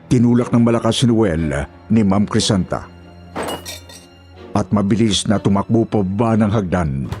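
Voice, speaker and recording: A man's voice: lowish voice, animated, normal speed, long pauses, a little mellow, faint music behind. A man reading scripted, dramatic narration as a horror story narrator.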